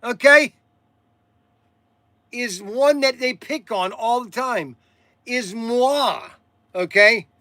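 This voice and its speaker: A man in his sixties hosting a casual, rambling livestream, halting and often repeating himself as he stumbles over words. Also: very high voice, bright, forceful tone, dry recording. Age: 60s. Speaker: livestream host selling hobby toys and model cars.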